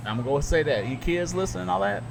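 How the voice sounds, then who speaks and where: A middle-aged man with a Southern US accent, a radio broadcaster chatting casually on a podcast, animated and quick to jump up in pitch when he makes a point. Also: gravelly, noisy background. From American South. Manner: animated storyteller, jumps up in pitch when emphatic.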